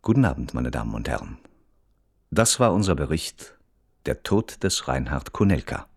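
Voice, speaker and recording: A detached crime reporter narrating a radio play. The voice is extremely deep and creaky, with lively intonation. The delivery is formal, scripted and matter-of-fact. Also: male voice, normal speed, no room sound, studio quality, exaggerated voice.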